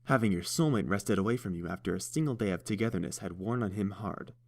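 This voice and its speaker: A man narrating a story in a steady, even storytelling manner. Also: on the low side, quick pace, gravelly. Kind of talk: fan audiobook narration.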